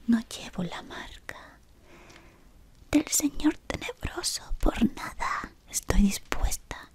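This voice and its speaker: A young woman voicing a devoted female servant of a dark wizard, whispering close to the mic in a fawning, deferential way. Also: on the high side, lively intonation, slow. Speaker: ASMR roleplay creator. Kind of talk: whispered ASMR roleplay. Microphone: close sensitive mic at face level.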